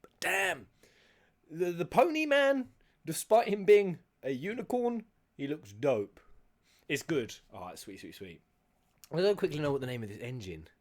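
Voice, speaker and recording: A man hosting a reaction video, slangy and excitable, talking to viewers over game audio. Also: on the high side, normal speed. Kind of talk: casual live reaction commentary.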